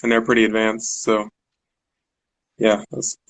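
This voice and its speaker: A man, a guest in a casual online chat, relaxed and rambling with many fillers. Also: fairly low pitch, unhurried, low-quality recording. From United States. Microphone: video call.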